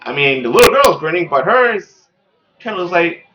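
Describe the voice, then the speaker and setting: Very animated woman narrating a visual novel. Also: fairly low pitch, measured pace, lo-fi sound. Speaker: gaming host reading a visual novel aloud. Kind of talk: let's play narration with casual asides.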